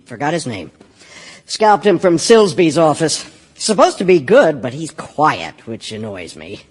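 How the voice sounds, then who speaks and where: Domineering, fatherly firm boss, high and very animated, clipped, emphatic stage-drama delivery. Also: a little slow. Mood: placating, brisk. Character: volatile, paternal, domineering male boss of an architecture firm. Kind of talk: audiobook stage drama acting.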